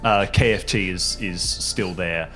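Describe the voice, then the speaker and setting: A man's voice: deep voice, unhurried, fairly bright tone. A man narrating a tabletop game as game master in a casual, improvised way. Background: music.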